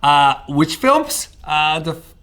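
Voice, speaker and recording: A man's voice: on the high side, very animated, takes it slowly, fairly bright tone. A Japanese man in his thirties, a native Japanese speaker with a light British-tinged accent, telling his life story to camera in a chatty way with frequent fillers. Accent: Japanese speaker of English with British traces. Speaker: vlogger. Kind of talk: casual personal storytelling to camera.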